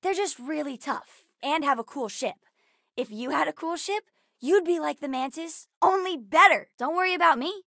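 A woman voicing a bright, bookish, earnest young girl in a video game, chatty and eager in her delivery. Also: sits high, lively intonation, moderate pace, creaky, no echo, clean studio sound, heavily acted. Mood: excited, upbeat. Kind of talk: scripted video game voice lines.

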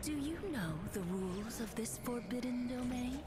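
An actress playing a composed, courteous and faintly ominous housekeeper in a video game, speaking formally and deliberately. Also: female voice, on the high side, expressive, very noisy, lightly acted. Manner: slow, polite, formal, deliberate delivery. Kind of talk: scripted video game character acting. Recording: music loudly behind the voice.

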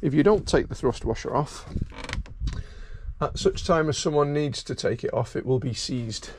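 A man's voice: a little high, expressive, normal speed. A middle-aged man, a boat mechanic, talking through an outboard service in a chatty way with dry humor, recorded outdoors on a head-worn camera. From Wales. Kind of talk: hands-on how-to vlog narration. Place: outdoors beside a boat trailer by grassy dunes. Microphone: head-mounted camera mic. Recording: noisy outdoor camera audio.

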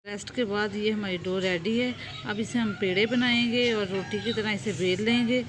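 A woman, calmly explaining a home cooking recipe step by step while she works. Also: medium pitch, moderate pace. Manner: calm, instructive, talks while working with hands. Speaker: home cooking channel host. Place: home kitchen. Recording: loud background noise, birds chirping.

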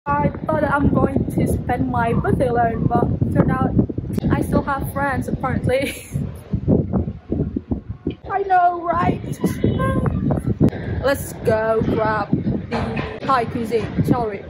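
A young woman with a fairly high voice chats into a phone held at arm's length as she walks around outdoors. She pokes fun at herself, and her nose is stuffy from pollen. Heavy street and traffic noise runs under everything she says. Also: takes it slowly, long pauses. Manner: chatty, self-mocking, laughs at herself. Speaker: vlogger. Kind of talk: casual vlog chat to camera. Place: outdoors in a sunny city park and streets.

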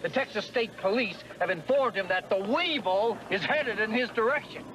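An agitated, bossy male promoter in a TV movie drama. His voice is high for a man, and there is clear background noise. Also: lively intonation, moderate pace. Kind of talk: 1990s TV movie drama acting. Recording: noisy film clip, vehicle sounds.

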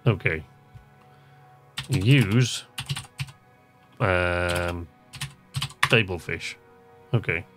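A man's voice: sits low, extremely slow, pauses often, music in the background. A man giving casual gameplay commentary, talking to himself and reading game text aloud in an easily amused, musing way. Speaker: gamer, video host.

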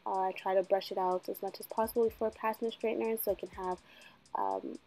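A young woman in her twenties giving a casual hair tutorial at home, chatty and joking, with a slightly husky voice that she calls a smoker's voice. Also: medium pitch, very animated, fast talker, mellow, poor audio. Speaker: beauty vlogger. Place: bedroom at home, indoors.

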